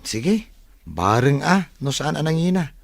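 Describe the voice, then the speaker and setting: A man's voice: fairly high pitch, sing-song, a little slow, gravelly, dry recording, full character voice. A man playing a thrifty young student from the province, chatting with his friend in a radio drama. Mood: casual, practical. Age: young adult.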